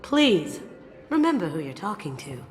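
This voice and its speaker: An actress playing a sly, self-assured master thief in a video game, breezy and wry. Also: female voice, on the low side, big pitch swings, normal speed, slight background noise. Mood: confident, businesslike. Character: sly, confident master thief woman, playful and cool. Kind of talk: video game character acting. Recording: music behind the dialogue. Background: game soundtrack music.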